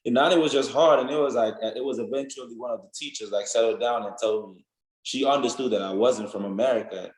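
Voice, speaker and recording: A man's voice: medium pitch, quick pace, roomy sound, low-quality recording. A young man with a Nigerian accent, answering interview questions in an earnest, rambling way, often adding "you know what I'm saying". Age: young adult. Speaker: football player guest.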